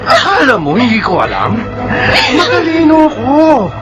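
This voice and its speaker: A man's voice: very high voice, wildly swooping pitch, moderate pace, highs cut off, exaggerated voice. A man playing a violent, paranoid, jealous husband and father in a Filipino radio drama, menacing and theatrical. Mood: raging. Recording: loud dramatic music behind.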